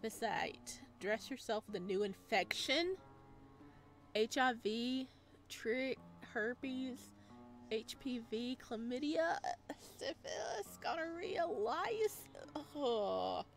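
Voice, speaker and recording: A woman's voice: fairly high pitch, animated, takes it slowly, faint music behind. A woman doing a visual novel let's play, reading text aloud and breaking off for chatty, casual asides. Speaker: gaming video host.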